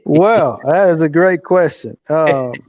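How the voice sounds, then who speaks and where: A middle-aged man talking casually and reflectively as an interview guest, with halting phrasing, on lo-fi call audio. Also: on the high side, lively intonation, darkish tone, no echo.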